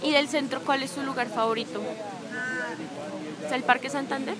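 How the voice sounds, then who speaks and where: Woman interviewer, higher voice, probing and rephrasing, outdoors with a crowd behind. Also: steady tone, normal speed. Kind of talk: street interview. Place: city park outdoors. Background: street crowd.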